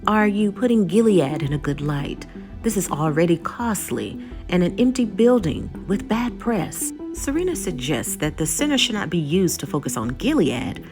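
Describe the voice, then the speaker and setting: A woman's voice: fairly low pitch, big pitch swings, normal speed, music in the background. A woman narrating a scripted episode recap as the host of a video channel.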